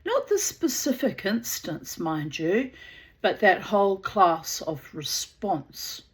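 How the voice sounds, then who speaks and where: A woman with a New Zealand accent reading a cool, measured female robot psychologist in a storybook, explaining firmly. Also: mid-range voice, big pitch swings.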